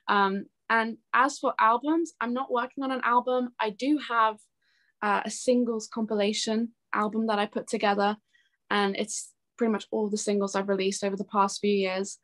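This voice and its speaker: A young woman speaks as an interview guest in a casual, chatty way. She sounds earnest and open, with a medium pitch and an easy conversational flow. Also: fairly bright tone. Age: young adult. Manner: chatty, earnest, laughs easily. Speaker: interview guest, singer-songwriter.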